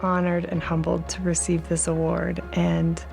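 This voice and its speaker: A woman in her thirties talks earnestly about her work in an interview. Her voice sits a little low and carries a gravelly fry. Soft music plays underneath. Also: completely flat delivery, measured pace. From Mexican American, grew up speaking Spanish. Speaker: law alumna, nonprofit executive director. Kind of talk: interview for a tribute video.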